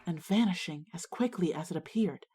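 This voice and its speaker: A woman as a low-voiced, haunted schoolgirl narrator. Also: lively intonation, brisk, background noise, natural voice. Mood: awed, hushed. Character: Marjory, haunted schoolgirl narrator, earnest and uneasy. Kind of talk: scripted first-person ghost story narration and dialogue.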